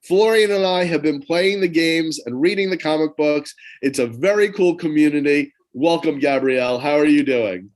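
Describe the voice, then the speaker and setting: An upbeat man co-hosting a podcast introduces the guest in a promotional tone. His voice sits a little high for a man. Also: normal speed, hardly any pauses. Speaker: podcast co-host.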